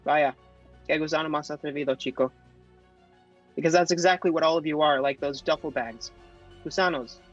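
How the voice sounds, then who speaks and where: A man's voice: fairly high pitch, brisk, pauses often, muffled recording, soft background music, voice pushed up, natural voice. A man voicing a contemptuous male official who taunts a boy in a table read. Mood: taunting. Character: sneering male airport official.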